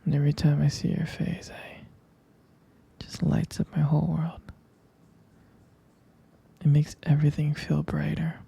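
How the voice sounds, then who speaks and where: Guy doing boyfriend asmr, super dark breathy whisper, slow n tender. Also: on the high side, completely flat delivery. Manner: tender, adoring, unhurried murmur with soft pauses. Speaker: ASMR roleplay creator. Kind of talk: intimate boyfriend roleplay ASMR.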